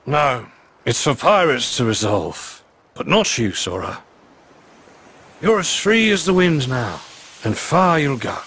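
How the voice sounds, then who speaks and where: An actor playing a roguish, swaggering pirate captain in a video game, with a British accent and flowery, slurred speech. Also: male voice, medium pitch, sing-song, slow pace, creaky, pauses often, a bit bright, slight background noise, heavily acted. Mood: grandiose, wistful. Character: roguish, theatrical pirate captain, a sly man. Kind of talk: dramatic video game cutscene acting.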